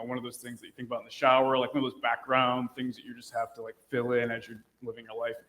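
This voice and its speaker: A man in his thirties from Buffalo, New York, speaking as a panelist on a conference stage, relaxed and joking. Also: mid-range voice, rough recording.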